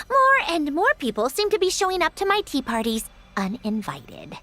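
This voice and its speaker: Aloof, slow-speaking android girl. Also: very high-pitched, wildly swooping pitch, sharp tone, faint music behind, full character voice. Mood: annoyed, haughty. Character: aloof, literal-minded young female android, secretly wistful. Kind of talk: video game voice acting.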